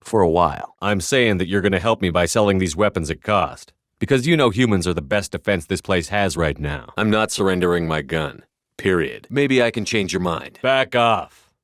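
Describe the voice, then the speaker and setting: Tough space soldier hero for a video game, deep voice, blunt and commanding, super dry studio sound. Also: male voice, expressive, moderate pace, dry recording. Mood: firm, controlled. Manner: firm, clipped, authoritative delivery. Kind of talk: scripted video game voice acting.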